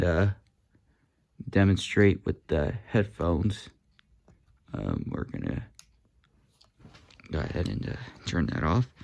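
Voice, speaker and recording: A man talking casually through a hands-on demo, halting often with uhs and ums while he handles the gear. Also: deep voice, vocal fry. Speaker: hobby video host demonstrating walkie-talkies.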